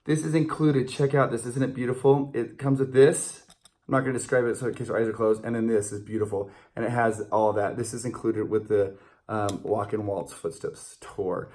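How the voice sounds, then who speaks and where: A man's voice: lowish voice, steady tone, a little fast, darkish tone. Playful middle-aged man chatting. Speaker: show host. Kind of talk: casual chat to camera. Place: small indoor room with banner backdrop.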